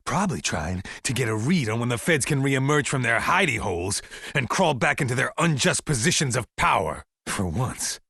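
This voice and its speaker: An actor playing a paranoid, defiant American survivalist camp leader in a video game, delivering conspiracy monologues in an emphatic, folksy, ominous way with weighty pauses. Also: male voice, fairly low pitch, gravelly, a bit bright, no room sound, studio quality, full character voice. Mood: suspicious, scornful.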